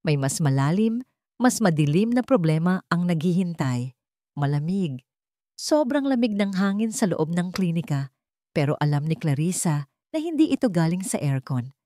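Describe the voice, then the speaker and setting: Woman narrator, lowish pitch, dark mellow tone, dramatic storytelling, dry close recording. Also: very animated, moderate pace, no room sound.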